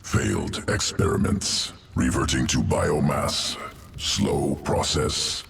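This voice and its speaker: Super low gravelly bug alien scientist for a game, slow, cold, talks in clipped fragments. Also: male voice, fairly even intonation, a bit bright, slight background noise, full character voice. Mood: cold, dismissive. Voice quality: gravelly, guttural, creaking creature rasp. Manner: clipped telegraphic sentences without articles, detached and deliberate. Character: cold, clinical alien insectoid evolution master of a monster swarm. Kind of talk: video game character acting. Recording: music behind the voice.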